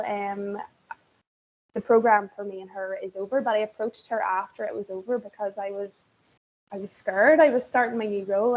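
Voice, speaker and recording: A woman sharing an enthusiastic, chatty testimonial on a video call, with lots of fillers. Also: mid-range voice. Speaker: webinar guest speaker. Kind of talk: casual testimonial. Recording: dull lo-fi call audio with faint hiss.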